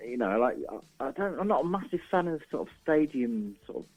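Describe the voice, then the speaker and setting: A man's voice: on the high side, big pitch swings, fast, a little mellow. A man chatting casually as an interview guest, hesitant and rambling in an informal way. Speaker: radio interview guest, musician. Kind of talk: casual chat. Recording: slightly dull, lo-fi sound.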